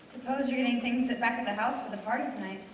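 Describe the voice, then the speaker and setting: Far from the microphone in a very echoey space, a woman plays a wistful yet determined small-town woman in a radio play. Her voice sits fairly high, with a coy, wry edge. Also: quick pace, close to an everyday voice. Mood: wistful, resolved. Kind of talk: stage radio play acting. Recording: echoey hall, distant mic, faint noise.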